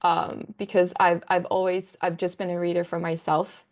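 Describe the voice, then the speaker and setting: A woman's voice: lowish voice, flat delivery, moderate pace. A woman in her twenties, a native Danish speaker, chatting casually about books as a guest, with frequent ums and likes. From Denmark. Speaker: guest, book content creator. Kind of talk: casual conversation.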